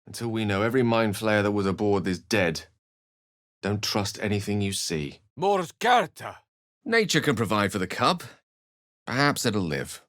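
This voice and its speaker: An actor playing an eloquent, wry wizard companion in a fantasy video game, with articulate, theatrical phrasing. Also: male voice, on the low side, sing-song, normal speed, a bit bright, no room sound, studio quality. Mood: incantation. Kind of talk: fantasy game dialogue and narration.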